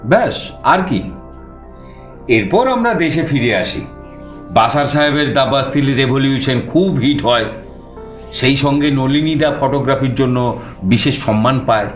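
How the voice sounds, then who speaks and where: A man narrating a suspense story in the first person with expressive, dramatized delivery. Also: medium pitch, unhurried, long pauses, roomy sound, faint music behind.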